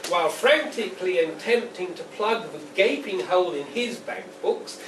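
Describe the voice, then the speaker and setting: A man giving a live poetry reading as the poet, with a measured, deliberate, declamatory delivery. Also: high voice. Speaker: poet reading own work. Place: indoor venue at a launch reading. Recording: roomy, distant, noisy live event recording.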